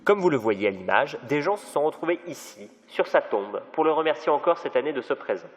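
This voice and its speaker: A man's voice: on the high side, big pitch swings, a little fast. A man playing a solemn, showy TV reporter in an audio drama, presenting formally and emphatically. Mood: solemn, celebratory.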